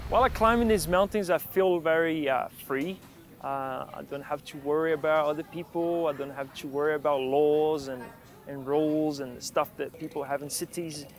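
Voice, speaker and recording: Thirty-something man, mountaineer, slightly high voice. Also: moderate pace. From born in Argentina, raised in Brazil. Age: 30s. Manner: relaxed, with many fillers and restarts. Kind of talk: documentary interview and voice-over.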